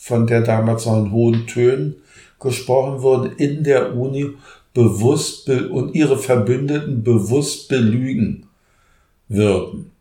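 A man with a mellow, mid-pitched voice reflects in an interview, pausing between short phrases. The room around him rings with echo. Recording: echoey room.

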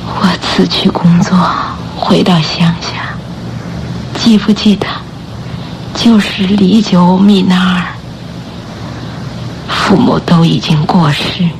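An actress playing a gentle, sorrowful bedridden mother in a radio drama, breathy, weak and halting. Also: on the low side, noisy background. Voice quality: breathy, often whispered. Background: background music.

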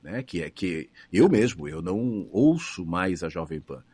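Husky low-voiced man with São Paulo accent. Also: very animated, measured pace, a little mellow, no echo. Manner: thoughtful, restarts phrases, rises and falls expressively. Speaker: radio broadcaster and voice announcer. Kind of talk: reflective interview answers.

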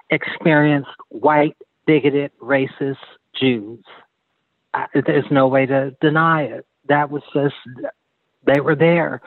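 A woman's voice: low voice, dry recording. A woman from Sudan, speaking bluntly and at length as a guest in a candid personal interview. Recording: tinny dry phone line.

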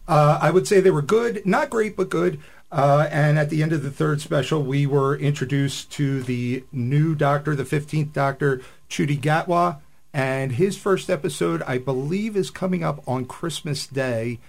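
A man with a gravelly edge and a fairly high pitch gives casual radio commentary. He speaks as a panelist on a science fiction podcast. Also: normal speed.

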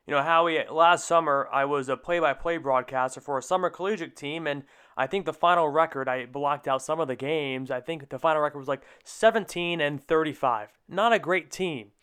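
A man's voice: on the high side, fairly quick, few pauses, pushed and bright, smooth. A young man hosting a podcast, asking long, meandering questions in a conversational sports interview. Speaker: podcast host.

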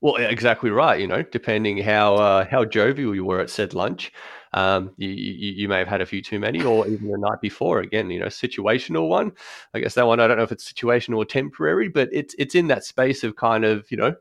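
A man talks through accessible design at an easy podcast pace, pausing on ums and restarting his thoughts. His voice sits fairly low, and the recording is dry and close with little room sound. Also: vocal fry, fairly bright tone, no echo. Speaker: guest expert on accessible design. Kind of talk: conversational podcast explanation.

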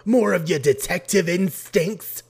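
A prim and formal woman acted by a man in an audiobook. The voice is raised in pitch, with deliberate, easily appalled phrasing. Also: vocal fry, slightly rough, heavily acted. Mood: shocked. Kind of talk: audiobook character voice.

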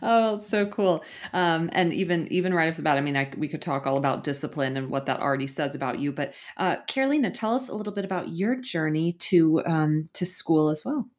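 A woman's voice: low-pitched, expressive, a little fast, few pauses, a little mellow. A young adult woman hosting a podcast interview, reading an introduction and reacting with upbeat, effusive enthusiasm. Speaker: podcast host.

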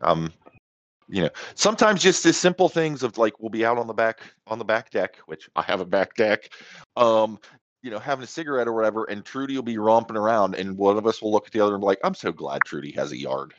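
A man's voice: fairly low pitch, lively intonation, fast talker, dry recording, highs cut off. A man in his forties co-hosting a podcast, laid-back and self-deprecating, swearing casually. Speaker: podcast co-host. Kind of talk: casual chat.

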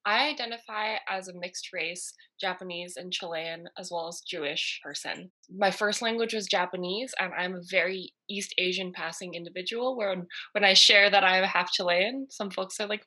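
A woman speaking as a guest in a relaxed conversation, earnest and quick to laugh. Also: on the low side, rough recording. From United States. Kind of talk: casual conversation.

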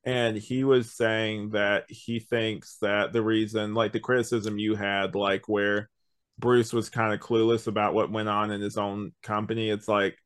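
A man with a fairly low voice talks as a podcast co-host in a casual review chat. He rambles through his explanations, often stopping and restarting a thought. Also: little pitch movement, unhurried, a bit bright, smooth.

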